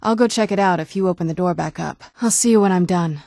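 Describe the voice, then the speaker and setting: A woman's voice: on the low side, fast talker, vocal fry, a little mellow, dry recording. A woman playing a guarded, wry young-looking vampire noblewoman in a video game, dry and understated. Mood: guarded, direct. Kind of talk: video game character dialogue.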